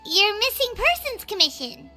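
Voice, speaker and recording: A woman voicing a small, chatty fairy companion in a video game, eager and quick to ask questions. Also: very high-pitched, big pitch swings. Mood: chatty, curious. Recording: game audio with clear music behind.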